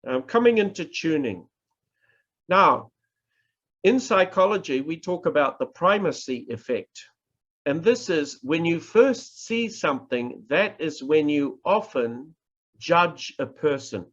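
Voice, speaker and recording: Middle-aged male lecturer, New Zealand accent. Slow, emphatic delivery with widely swinging pitch, instructional tone, muffled video-call sound. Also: lively intonation, fairly bright tone. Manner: deliberate, emphatic, with pauses and repetition. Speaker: professor teaching public speaking. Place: indoors, online presentation. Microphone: video call microphone.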